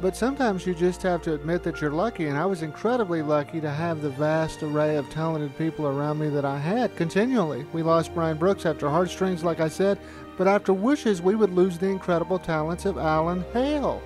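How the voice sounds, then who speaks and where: A man's voice: a little high, brisk, low-quality recording. A man narrating a reflective, anecdotal documentary retrospective as the filmmaker. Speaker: filmmaker looking back on studio's history. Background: music.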